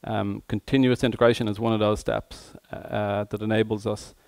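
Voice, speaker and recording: A man giving a tech conference presentation as an engineer, speaking hesitantly with frequent pauses mid-phrase. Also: lowish voice, level tone, creaky, no room sound.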